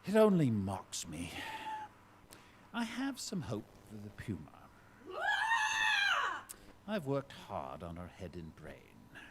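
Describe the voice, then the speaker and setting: A slow, grandiose mad scientist. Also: male voice, on the low side, huge pitch swings, shouting, noisy background, heavily acted. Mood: musing, weary. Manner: theatrical, brooding, swelling into fervent declamation. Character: obsessed, grandiose mad scientist creating men from animals. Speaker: stage actor in a live audio drama. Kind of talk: dramatic monologue in a live radio-style play. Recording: live stage recording with music underneath.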